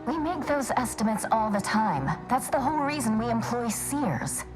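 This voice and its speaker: A woman's voice: fairly high pitch, expressive, moderate pace, over music, natural voice. A woman playing a sardonic, argumentative scholar with a blunt way of talking in a video game. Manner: sarcastic, blunt. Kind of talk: video game dialogue acting.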